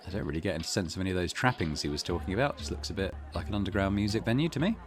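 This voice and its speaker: A man's voice: sits low, rapid-fire. A man hosting a let's play, giving casual, wry commentary and reading game narration aloud. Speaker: gaming video host. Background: game music.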